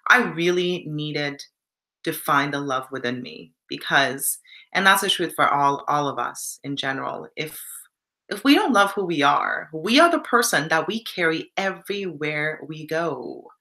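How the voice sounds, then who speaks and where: A young woman from Canada talks to her live stream viewers in a loose, reflective way. Her voice sits low but has a bright, forward edge, and she keeps stopping to read the chat. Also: animated, a little slow. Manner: warm and reflective, reads chat comments aloud.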